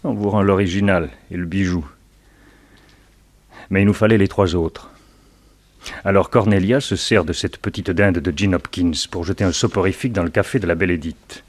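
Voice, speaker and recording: An actor playing a scheming, taunting crook in a crime radio drama, speaking with deliberate, sardonic emphasis. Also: male voice, sits low. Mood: gloating. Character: scheming male blackmailer, sly, taunting crook.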